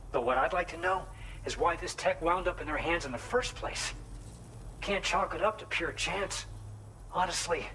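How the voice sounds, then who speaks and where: A man's voice: medium pitch, bright, forceful tone, a little hiss or hum, close to an everyday voice, low-quality recording. An actor playing a conscientious, upbeat man who briefs a courier in a video game, talking in a chatty, earnest, explanatory way. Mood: suspicious, pondering. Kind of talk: video game cutscene dialogue.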